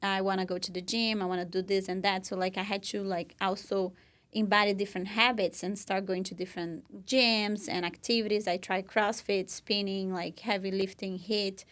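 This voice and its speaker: A woman's voice: medium pitch, flat delivery, normal speed, a bit bright. A young woman from Brazil with a Brazilian Portuguese accent, co-hosting a podcast and telling her own story in an earnest, casual way full of "you know" and "like". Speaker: podcast co-host.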